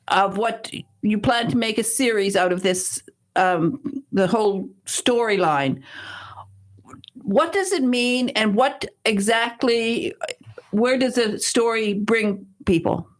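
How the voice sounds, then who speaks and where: A woman's voice: a little high, expressive, slow. A woman hosting a radio talk show, interviewing an author and guiding him with friendly questions. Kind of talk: interviewing a guest.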